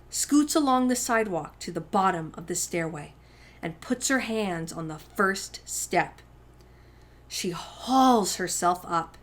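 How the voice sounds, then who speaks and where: Slow-paced woman reading a children's story. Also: fairly low pitch, pushed and bright. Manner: slow, deliberate, emphatic phrasing for young children. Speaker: story time host reading a picture book aloud. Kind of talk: expressive children's read-aloud narration.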